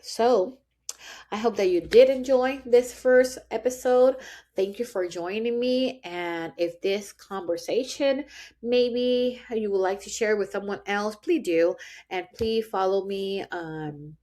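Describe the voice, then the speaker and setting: A woman's voice: medium pitch, takes it slowly, warm tone. A woman with a Puerto Rican accent and Spanish as her first language, hosting a motivational podcast and talking straight to her listeners in an earnest, encouraging way. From Puerto Rico. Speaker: podcast host.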